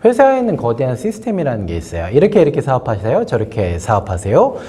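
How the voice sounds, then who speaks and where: A man's voice: mid-range voice, huge pitch swings, fast talker, warm tone. A man hosting a spoken business lesson, talking casually with many fillers and asides while nursing a sore throat from a cold. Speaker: host giving a business lesson. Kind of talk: casual spoken lecture to camera.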